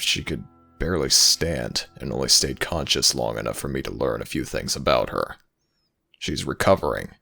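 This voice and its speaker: A man voicing a grave, guarded god of the dead in an audio roleplay, with a deep gravelly growl and measured, formal speech. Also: bright, forceful tone, exaggerated voice.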